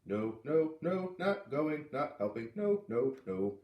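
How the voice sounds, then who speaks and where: A man's voice: on the high side, sing-song, slow, echoey room, far from the mic, highs cut off. A man voicing an upset, stubborn, whiny little boy in a storybook, protesting sulkily and repeating himself. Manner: repetitive, sulky protesting.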